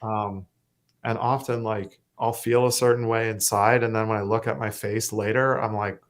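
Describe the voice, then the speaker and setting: A Canadian man with a self-described monotone voice, talking casually as a musician guest, rambling with fillers and restarts. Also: lowish voice, moderate pace. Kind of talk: casual interview chat.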